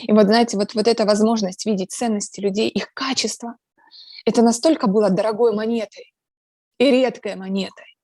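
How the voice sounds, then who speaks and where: Ukrainian woman guest, gravelly, reflective, earnest, lots of fillers, close and dry sound. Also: mid-range voice, fairly even intonation, fairly quick, a little mellow, no room sound. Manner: earnest, talks about faith. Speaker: interview guest. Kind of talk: casual conversational interview.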